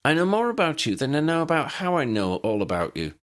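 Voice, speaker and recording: A man voicing a young woman who speaks with calm, powerful, uncanny certainty. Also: on the high side, fast talker, voice pushed up. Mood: insistent, sure.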